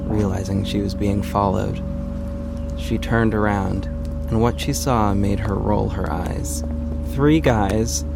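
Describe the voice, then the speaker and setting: A man narrates an audio drama in a low voice with plenty of creak. His storytelling is scripted, measured and deliberate, with music clearly underneath.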